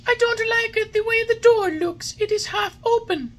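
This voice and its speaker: A woman voicing a whiny, stubborn puppet boy in a high, shrill voice. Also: normal speed, slight background noise. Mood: whining. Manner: shrill, whining, loud.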